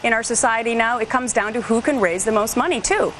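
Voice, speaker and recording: A woman's voice: fairly high pitch, brisk, fairly bright tone, a little hiss or hum. An American woman speaking as a television news anchor, putting questions in a broadcast interview.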